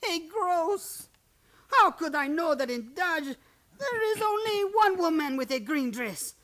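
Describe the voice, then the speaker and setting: A woman's voice: extremely high pitch, sing-song, unhurried, fairly bright tone, exaggerated voice. A woman playing a coaxing, haggling French shopkeeper with a French accent in a western radio play. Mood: exasperated, bargaining. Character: wheedling French shopkeeper Moreau, eager haggling salesman.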